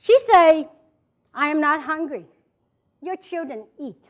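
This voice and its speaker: A woman's voice: sits high, expressive, slow. A woman from South Vietnam with a Vietnamese accent, giving an emphatic motivational speech to a live audience and repeating key phrases. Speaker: motivational speaker. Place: event hall before an audience.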